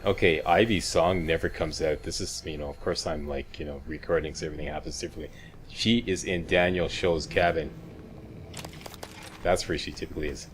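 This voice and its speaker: Male walkthrough host, deep register, casual and instructive, game ambience faintly underneath. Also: fairly even intonation, fairly quick, a little hiss or hum. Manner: informal, chatty, step-by-step guiding. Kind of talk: casual video game commentary.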